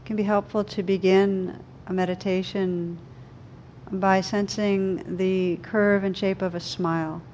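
A woman leading a guided meditation, speaking gently and soothingly in slow, unhurried phrases with pauses. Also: medium pitch, level tone, lo-fi sound, a little hiss or hum. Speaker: meditation teacher.

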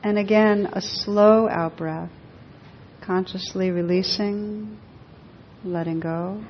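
A woman leading a guided meditation for a group, speaking gently in short, unhurried phrases with long pauses. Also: fairly even intonation. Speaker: meditation teacher. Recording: dull echoing hall recording, faint rumbling noise.

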